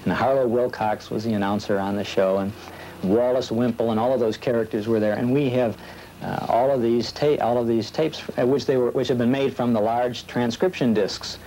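Halting man on noisy old videotape. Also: mid-range voice, steady tone, warm tone. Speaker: old radio program collector being interviewed. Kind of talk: casual interview talk. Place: small office, indoors.

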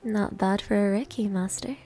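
A woman's voice: medium pitch, steady tone, moderate pace, close to an everyday voice. A woman playing a confident, playful senior maid who teases and flirts, in an anime-style ASMR roleplay. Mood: teasing, flirty. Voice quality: breathy, soft. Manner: coy, teasing. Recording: music behind the voice.

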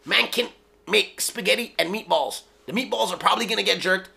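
Bright-voiced Jamaican man rapping about his lyrics. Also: sits high, a little fast, vocal fry. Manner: playful, slangy, drops into hushed asides. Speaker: rapper explaining his lyrics. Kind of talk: casual solo video commentary. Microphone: studio mic on stand.

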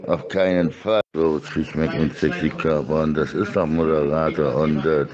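A middle-aged man with an extremely low voice talks in an insistent, repetitive ramble. His monologue is sexually suggestive, and the recording is dull and lo-fi, as if from a phone held close. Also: fairly even intonation, moderate pace, a crowd in the background. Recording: dull lo-fi clips.

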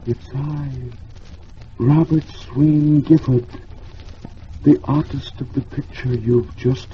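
A man voicing the sad, solemn ghost of a dead painter in an old radio drama, calling in slow, hushed tones. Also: mid-range voice, voice pushed up. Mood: mournful, commanding. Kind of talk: 1950s radio drama acting. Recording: dull, noisy old radio recording.

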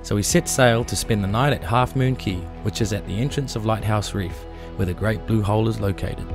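A young man hosting a sailing vlog, telling the trip in a relaxed, matter-of-fact way. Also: lowish voice, brisk, over music. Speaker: sailing vlog host and skipper. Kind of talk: casual travel narration.

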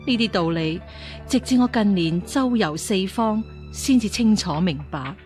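An actress playing a stern, righteous martial-arts nun in a radio drama, questioning with sceptical authority. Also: female voice, medium pitch, expressive, unhurried, a little mellow, soft background music, close to an everyday voice. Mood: solemn. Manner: interrogating, accusing, authoritative. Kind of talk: Cantonese radio drama acting.

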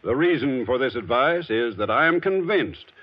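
An earnest, authoritative physician voiced by a male actor gives an old radio testimonial. The voice is husky, the recording dull. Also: medium pitch, expressive, moderate pace, natural voice. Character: earnest, authoritative male doctor endorsing a product. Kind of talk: 1940s radio advertising testimonial.

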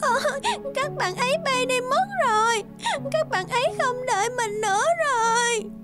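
A woman's voice: very high-pitched, normal speed, voice pushed up. A woman voicing a childlike, lazy swan in a cartoon fairy tale, exclamatory and gushing. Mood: tearful. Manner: exclamatory, gushing and self-pitying. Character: lazy pink swan, childlike, gleeful then whiny. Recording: music under the voice.

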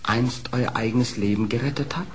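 An actor playing a sly, smooth-tongued fox in a radio play, speaking in a formal, theatrical, pleading manner. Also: male voice, mid-range voice, moderate pace, lightly acted. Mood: crafty pleading. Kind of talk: classic German radio play acting. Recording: old radio play record, noisy.

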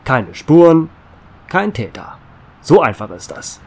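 A man's voice: a little high, very animated, slow pace, a little mellow, exaggerated voice. A man playing a weary, authoritative small-town sheriff with a dry sarcasm, in an amateur audio drama. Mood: brisk, dismissive. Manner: authoritative, sardonic.

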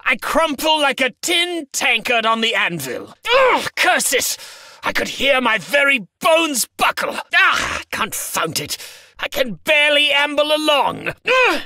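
Snobby nobleman for a medieval battle game: pompous, very high, wildly swooping delivery. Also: sing-song, unhurried, sharp tone, no echo, clean studio sound, exaggerated voice. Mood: pained, indignant. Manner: pompous, florid, declaiming. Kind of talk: video game battle voice lines.

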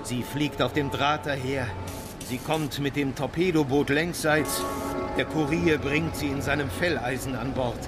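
An actor as a slow, solemn court chronicler. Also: male voice, mid-range voice, fairly even intonation, loud music behind the voice, natural voice. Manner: solemn, deliberate. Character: reverent, florid court chronicler of the Kaiser. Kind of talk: literary narration in radio drama.

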